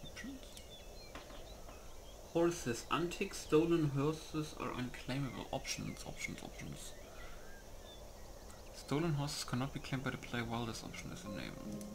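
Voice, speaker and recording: A man's voice: medium pitch, expressive, measured pace, long pauses, noisy background. A man giving casual gameplay commentary, muttering as he reads menu options aloud. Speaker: gamer recording a playthrough. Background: music.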